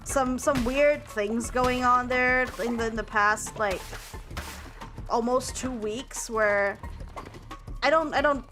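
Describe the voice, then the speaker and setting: A woman's voice: a little high, fairly even intonation, slow pace, fairly bright tone, soft background music. Rambling young woman streamer, stumbling chat. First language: German. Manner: rambling, repeats words, many fillers.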